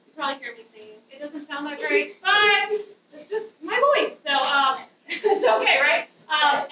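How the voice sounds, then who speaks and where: A woman in her thirties nervously sharing a personal testimony to a youth group, with a loud voice and many ums. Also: high-pitched, slight background noise. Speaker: guest speaker giving a testimony. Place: dim church youth room with an audience. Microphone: handheld mic, far camera. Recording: roomy hall sound from a distance.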